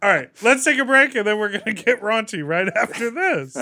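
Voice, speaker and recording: A man's voice: high voice, lively intonation, normal speed. A man co-hosting a podcast in a casual, bantering style. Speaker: podcast co-host.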